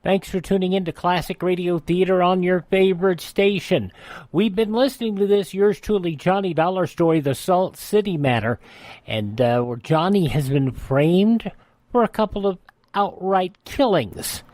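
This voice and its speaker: A man's voice: high voice, moderate pace, a little mellow, no echo. A man hosting a classic radio show, talking informally and conversationally.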